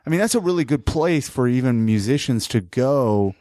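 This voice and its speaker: A man hosting a casual podcast interview, quick to affirm with "sure" and "I mean". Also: mid-range voice, animated, dark tone, no echo, studio quality. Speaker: podcast host.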